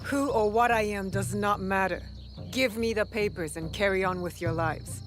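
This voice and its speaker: A woman's voice: mid-range voice, normal speed, soft background music, close to an everyday voice. A woman playing a determined, bold young female shinobi in a video game. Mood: cold, threatening. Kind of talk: video game cutscene acting.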